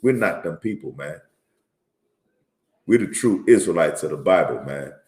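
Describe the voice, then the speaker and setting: A deep-voiced man gives an informal Bible teaching, circling back over his points and ending phrases with "man." When he reads scripture, his voice climbs higher and louder and slows right down. The room around him is echoey. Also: expressive. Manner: tags lines with "man", repeats himself; reads scripture aloud in a raised, louder, slower voice. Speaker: religious teacher.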